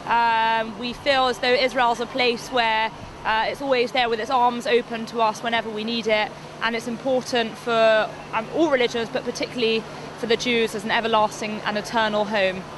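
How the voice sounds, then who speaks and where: Woman at a street parade, slightly high voice, sincere answers, outdoor noise. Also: little pitch movement, moderate pace. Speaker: interviewee at a parade. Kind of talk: street interview.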